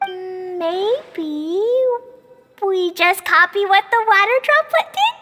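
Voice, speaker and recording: A woman's voice: very high-pitched, expressive, slow pace, sharp tone, long reverb tail, slight background noise, exaggerated voice. A woman voicing a cheerful, eager little girl in a game dub, with a sweet, childish sing-song delivery. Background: game music.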